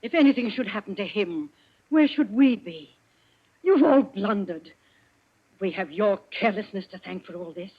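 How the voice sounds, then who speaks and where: An actor playing a fiercely loyal, scolding member of a criminal society, emphatic and impassioned, in an old-time radio play. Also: male voice, high-pitched, expressive, moderate pace, husky. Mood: indignant, scolding. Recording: muffled old radio drama recording.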